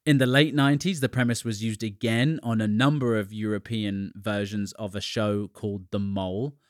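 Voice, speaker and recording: British podcast host, low voice, dry close sound, chatty and jokey. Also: male voice, steady tone, moderate pace, no echo. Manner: chatty and conversational, with asides and little jokes. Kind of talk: casual solo podcast monologue.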